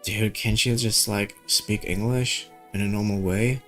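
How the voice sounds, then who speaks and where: A man's voice: on the low side, steady tone, unhurried, fairly bright tone, soft background music. A man doing a game playthrough, reading story dialogue aloud in an informal way with casual asides and stumbling over words. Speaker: gaming streamer.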